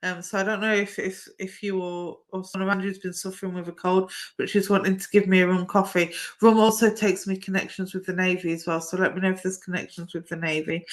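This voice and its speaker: A middle-aged woman, chatting warmly through a live-stream card reading and calling viewers "my darling". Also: lowish voice, little pitch movement, fairly quick. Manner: chatty and affectionate, says "my darling" often. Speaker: psychic medium giving live readings.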